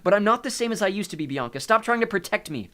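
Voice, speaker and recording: A man voicing an intense, defiant, brooding boy demigod who is loud and insistent, in a storybook. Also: high voice, lively intonation, fast talker, voice pushed up. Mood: angry, demanding.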